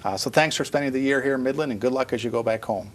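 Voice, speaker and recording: A man's voice: mid-range voice, a little hiss or hum. A man hosting a school district TV program, interviewing students in a studio. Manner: brisk, conversational. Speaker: TV host.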